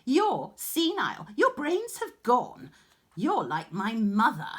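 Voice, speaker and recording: A brash young weaver from a storybook, acted by a woman, throws out her words high and loud. She is proud, scornful and insolent, with swooping, animated pitch. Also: heavily acted.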